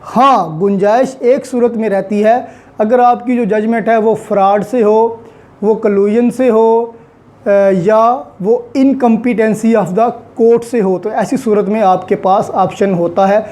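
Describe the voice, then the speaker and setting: A woman's voice: on the high side, steady tone, slow pace, darkish tone. A woman with a Pakistani accent giving an explanatory law lecture, didactic and repeating key points, switching between two languages.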